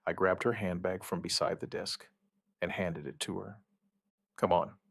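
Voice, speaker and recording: A man playing an outbreak survivor and protective partner, telling his story in measured, understated, short plain sentences as a scripted audio drama. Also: deep voice, fairly even intonation, creaky, a bit bright, husky, dry recording, studio quality, heavily acted. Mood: firm, reassuring. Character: male outbreak survivor recounting events, steady and protective partner. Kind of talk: first-person scripted narration of apocalyptic audio drama.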